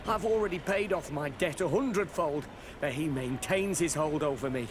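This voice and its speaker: A man's voice: on the high side, moderate pace, lightly acted. A man playing an evasive, beleaguered customs officer in a video game, hesitant, stammering and deferential. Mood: desperate. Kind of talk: video game cutscene acting. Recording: noisy outdoor ambience behind the voice.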